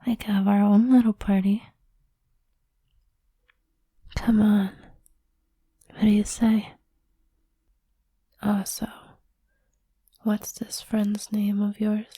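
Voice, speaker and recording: Woman acting slow, whispering, possessive girlfriend. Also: medium pitch, level tone, no echo. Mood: coaxing, playful. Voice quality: soft, breathy whisper. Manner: slow, lingering, coaxing delivery. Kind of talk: intimate whispered audio roleplay, ASMR-like.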